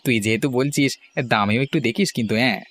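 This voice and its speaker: A man's voice: medium pitch, fast, fairly bright tone, natural voice. A man voicing a friendly cartoon villager, talking in a familiar, colloquial way. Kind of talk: cartoon dialogue.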